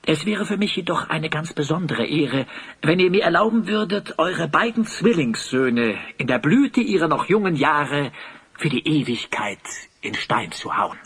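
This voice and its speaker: A man playing a vain, scheming master sculptor in a fantasy audio drama, speaking with flattering, theatrical grandeur. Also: fairly high pitch, moderate pace, breathy edge, full character voice. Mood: courtly, flattering.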